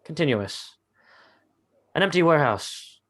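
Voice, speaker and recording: A man's voice: fairly high pitch, animated, a little slow, fairly bright tone. Man narrating screenplay stage directions. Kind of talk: scripted narration, dramatic table read.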